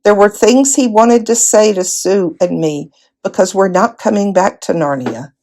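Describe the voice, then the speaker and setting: A woman voicing a steady, solemn older-brother boy in a storybook, earnest and reassuring. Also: mid-range voice, measured pace, slight background noise, close to an everyday voice.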